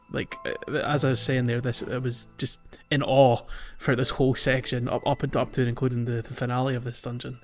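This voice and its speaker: A man's voice: mid-range voice, highs cut off. A man chatting casually and enthusiastically about a game as a podcast co-host. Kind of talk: casual game discussion chat.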